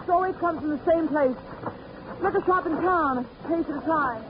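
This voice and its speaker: An actress playing a flustered, evasive wife in a 1940s radio mystery, giving short offhand answers. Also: female voice, high-pitched, normal speed, lightly acted. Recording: noisy, dull old radio recording.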